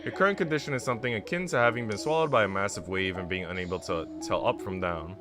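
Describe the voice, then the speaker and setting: A man reading visual novel dialogue as a gentle, calm, knowing woman. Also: fairly low pitch, fast talker, over music, close to an everyday voice.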